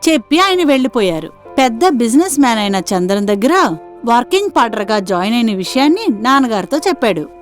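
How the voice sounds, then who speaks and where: A woman narrates a scripted children's-style moral tale. Her voice is somewhat high and she moves along at a fast pace. Soft music plays behind her. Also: sing-song.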